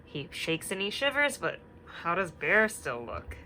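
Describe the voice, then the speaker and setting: A young woman teacher reading a picture book aloud and asking the children gentle questions, sometimes dropping to a whisper. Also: on the low side, sing-song, a little slow, slight background noise, low-quality recording. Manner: drops to a whisper at quiet parts.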